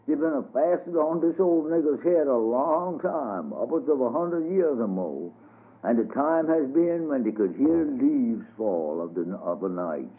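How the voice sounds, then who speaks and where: A man voicing a very old, frail man who rambles gently, on a tinny radio broadcast. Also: mid-range voice, big pitch swings, voice pushed up. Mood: rambling. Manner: slow, rambling old-timer talk. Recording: tinny phone-like radio sound.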